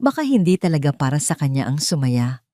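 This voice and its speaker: A woman with a Filipino accent giving a dramatic scripted story narration in solemn, deliberate phrases. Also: low-pitched, sing-song, mellow, no room sound, studio quality.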